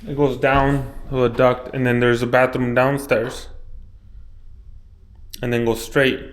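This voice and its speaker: A man talks through a technical install in an unhurried way, thinking aloud and repeating himself as he instructs. His pitch is average, and a faint noise runs behind him indoors. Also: flat delivery. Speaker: access control installer and video host. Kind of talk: hands-on walkthrough, unscripted explaining. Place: indoors at an office job site.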